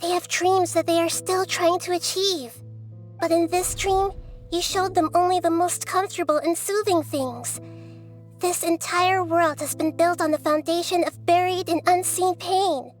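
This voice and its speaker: A very high-voiced, earnest girl deity. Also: fairly even intonation, faint music behind. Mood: solemn, earnest. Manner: measured, earnest explaining. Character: young girl deity, gentle, wise and earnest. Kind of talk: English game dub, story dialogue.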